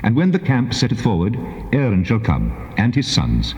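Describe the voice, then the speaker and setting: A solemn, commanding deity, declaiming scripture in measured phrases. The low voice rises and falls expressively, ringing in a long, echoing reverb on a dull tape. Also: male voice, wildly swooping pitch, a little mellow. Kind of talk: dramatized King James Bible reading.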